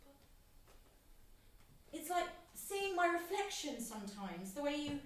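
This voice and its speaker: A guarded young woman, the cooler best friend, in a naturalistic audio drama. Her voice is fairly high, with a frank, dry delivery. The sound is very reverberant, with faint noise. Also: very animated, measured pace, fairly bright tone, heavily acted. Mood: irritated, frank. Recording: very echoey, distant, faint noise.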